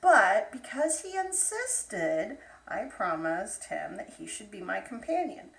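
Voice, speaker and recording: A woman's voice: mid-range voice, very animated, takes it slowly, vocal fry, exaggerated voice. A woman voicing a spoiled, tearful young fairytale princess with earnest, dramatic delivery. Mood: anxious. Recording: roomy echo, distant microphone.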